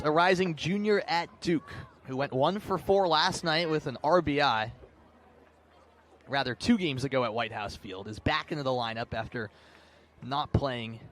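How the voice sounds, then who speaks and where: A man doing live baseball play-by-play commentary, narrating pitch by pitch in short, clipped phrases. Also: a bit bright. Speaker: play-by-play announcer. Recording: clear outdoor ballpark background noise. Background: outdoor ambient noise.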